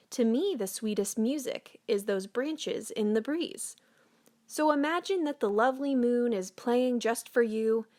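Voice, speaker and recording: A woman voicing a kindly, wise cricket from a children's picture book, gently coaxing and encouraging. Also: on the high side.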